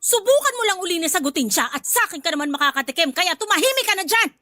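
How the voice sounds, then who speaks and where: A woman's voice: very high-pitched, sing-song, quick pace, sharp tone, soft background music, exaggerated voice. A woman playing a stern, short-tempered mother in a radio drama, with a Filipino accent and a scolding, commanding manner. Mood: angry, scolding.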